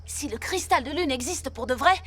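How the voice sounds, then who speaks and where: Very high-voiced, resolute boy hero. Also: animated, brisk. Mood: determined. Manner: commanding, earnest. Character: resolute six-year-old boy superhero team leader. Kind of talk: French animated cartoon dub. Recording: music behind the voice.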